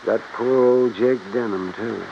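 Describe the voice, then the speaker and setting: A man's voice: mid-range voice, measured pace, gravelly. An American man playing a nervous, evasive country veterinarian with a hesitant manner in old-time radio drama style. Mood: affable. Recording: dull, hissy vintage radio recording.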